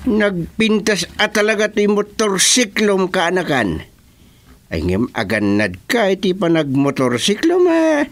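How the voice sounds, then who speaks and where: Casting: male actor as anxious, exclaiming Ilocano uncle for rural comedy radio play; slow delivery, very lively intonation. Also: high voice, exaggerated voice. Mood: concerned, teasing. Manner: exclamatory, drawn-out interjections. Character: fussing, worried village uncle to a daredevil nephew.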